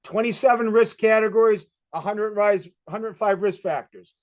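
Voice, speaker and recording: A man with a Boston accent giving a brisk presentation. He walks through the steps with quick questions and answers. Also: high-pitched. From Massachusetts. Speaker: presenter on community crisis programs. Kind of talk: fast presentation talk.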